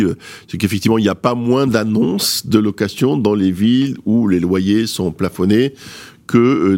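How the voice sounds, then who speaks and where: A man's voice: fairly low pitch, a little mellow. A middle-aged man speaking emphatically and rhetorically in an opinionated panel discussion, addressing his listeners directly. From born outside France, moved there at eighteen. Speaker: guest commentator on housing policy.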